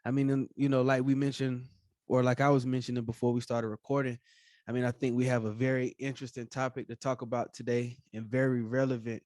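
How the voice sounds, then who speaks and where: A man's voice: mid-range voice, little pitch movement, quick pace, darkish tone, dry recording, clean studio sound. A man hosting a podcast interview, talking casually with many fillers and encouraging remarks. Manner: casual, filler-heavy, affirming. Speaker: podcast host.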